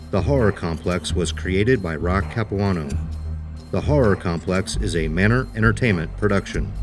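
A man reading a scripted show announcement in a formal, measured way. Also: deep voice. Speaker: podcast announcer. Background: prominent music.

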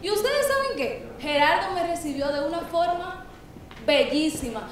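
A woman's voice: very high voice, animated, normal speed, heavy reverb, far from the mic. A young adult woman giving an upbeat introduction of herself on a TV show. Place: indoor restaurant by an aquarium. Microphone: handheld microphone.

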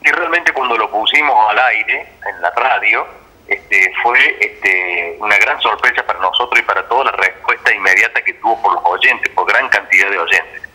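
Argentine male interview guest, lowish pitch, very forceful tone, explaining at length over a phone line. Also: moderate pace, breathy edge. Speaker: interview guest, theatre director. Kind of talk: spontaneous explanatory interview talk.

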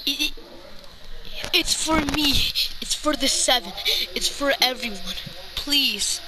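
A player acting out an improvised video game roleplay, speaking haltingly and repeating words. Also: high-pitched. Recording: noisy home game recording with clicks.

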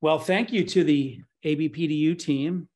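A middle-aged man giving an online slide presentation in halting, pause-filled phrases. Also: on the high side, darkish tone. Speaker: conference presenter, nonprofit co-founder. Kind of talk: slide presentation at a virtual expo. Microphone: webcam on a video call.

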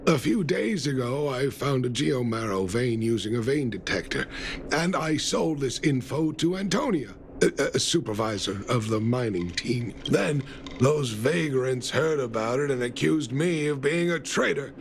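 An actor playing a weary, gentle miner and father in a video game, speaking haltingly and earnestly. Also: mid-range voice, expressive, slight background noise. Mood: rueful.

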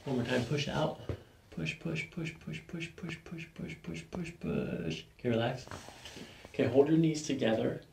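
Very gravelly man giving soothing treatment instructions. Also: on the high side, moderate pace, very noisy. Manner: soothing, reassuring instructions in short phrases. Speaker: chiropractor. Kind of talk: calm hands-on ASMR treatment talk, instructing a patient. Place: small carpeted treatment office, indoors. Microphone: microphones on boom stands near the table.

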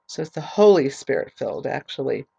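A woman teaching a Bible study whose voice is fading from allergies. She reads scripture aloud slowly, adds short explanations, and spells out names letter by letter. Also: rumbling low, sing-song, creaky, warm tone, husky, lo-fi sound. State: losing her voice from allergies.

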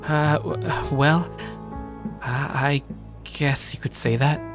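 A man's voice: on the high side, steady tone, takes it slowly. A man playing a shy young gamer loner over music. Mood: nervous, flustered. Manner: self-deprecating, stammering when talking to others. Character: shy, awkward young male gamer loner narrating his life. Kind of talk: first-person story narration with acted dialogue.

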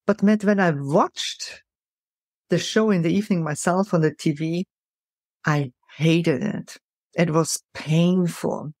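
Woman, middle-aged, mixed European accent, animated storyteller, dry close sound. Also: on the low side, takes it slowly, dry recording. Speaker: guest, keynote speaker. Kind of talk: storytelling interview answers.

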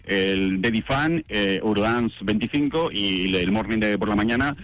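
A man's voice: lowish voice, moderate pace, a bit bright. A man with a Spanish accent, a radio presenter chatting informally in a live phone interview. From Spain. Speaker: radio morning show presenter, phone guest. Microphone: telephone call into studio.

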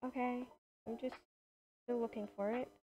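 A woman's voice: a little high, flat delivery, warm tone, lightly acted. A young woman playing a shy, hesitant new worker in an improvised video game roleplay. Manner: hesitant, unhurried. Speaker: roleplay gamer. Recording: dull lo-fi home mic.

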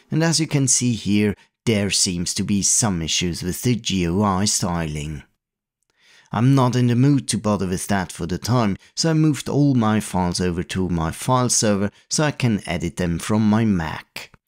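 Low-ish guy narrating a retro tech tutorial, calm and step by step. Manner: calm, methodical explaining. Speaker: retro computing video host. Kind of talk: scripted tutorial voice-over.

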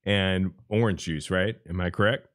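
A man's voice: sits low, moderate pace, a bit bright. A man chatting casually as an interviewer, in informal, slangy, exclamatory speech full of swearing. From Los Angeles, USA. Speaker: interviewer, podcast host. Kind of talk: casual chat. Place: remote online call.

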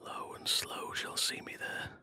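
An actor playing a stealthy, gentle druid healer in a breathy, gravelly near-whisper for a video game. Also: male voice, unhurried, no echo, studio quality. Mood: secretive. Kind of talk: hushed video game voice lines.

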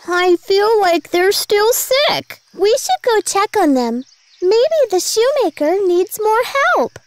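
A woman voicing a sweet, caring little girl in a children's cartoon, with simple, expressive delivery. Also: extremely high pitch, slow pace, no room sound, exaggerated voice. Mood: earnest, eager. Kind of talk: animated children's story dialogue.

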